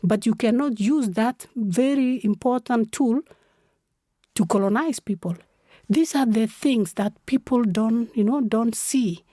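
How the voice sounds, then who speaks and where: An impassioned woman activist with a Sudanese accent speaks English as an additional language. Her voice sits fairly high with a mellow tone, and the recording is dry and close. She talks emphatically, repeating herself and throwing out rhetorical questions. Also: a little slow, no echo. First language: a mother tongue other than English. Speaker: activist guest. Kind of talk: impassioned interview talk.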